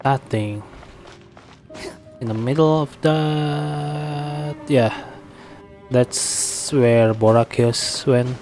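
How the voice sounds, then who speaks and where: A man giving casual live gameplay commentary as a streamer, reacting in short, halting remarks. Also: mid-range voice, darkish tone. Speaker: game streamer. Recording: faint game music behind.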